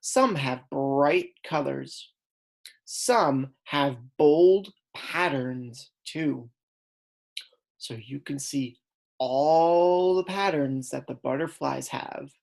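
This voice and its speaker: A woman reading aloud as a kindergarten teacher, in a deliberate, instructional way that stresses key words. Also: very deep voice, creaky, darkish tone. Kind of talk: read-aloud lesson for young children.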